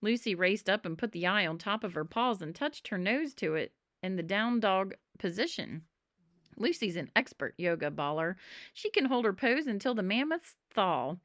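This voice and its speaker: A woman reading a children's storybook aloud in an expressive narrating style. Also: medium pitch, moderate pace, no echo, studio quality. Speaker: storybook reader.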